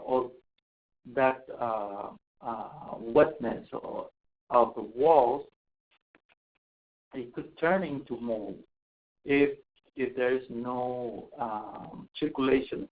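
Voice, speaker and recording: Casting: man from Costa Rica, mid-pitched, halting presenter, thin phone-like sound. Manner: hesitant, many fillers and restarts. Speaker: asthma program home visitor. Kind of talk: webinar presentation. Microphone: webinar call mic. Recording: tinny phone-like webinar audio.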